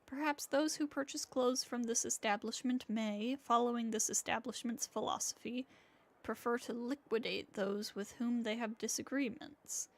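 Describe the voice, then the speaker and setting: An actress playing a formal, earnest young woman in a video game, speaking in a deliberate, articulate way. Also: fairly high pitch, fairly even intonation. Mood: dramatic reading. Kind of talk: video game acting, dramatic read-aloud.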